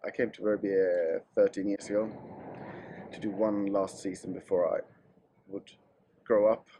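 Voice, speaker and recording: Swedish man with a mellow voice. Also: lowish voice, flat delivery, unhurried, slight background noise, poor audio. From Sweden. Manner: easygoing, hesitant. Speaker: interviewee, ski racer. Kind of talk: casual interview answers.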